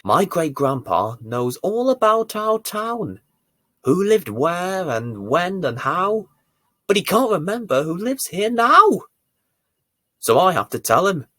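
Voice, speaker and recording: A man reading a bedtime story to young children as its presenter, with deliberate, theatrical emphasis. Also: high-pitched, very animated, no echo. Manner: deliberate, theatrical emphasis with dramatic swells and hushes.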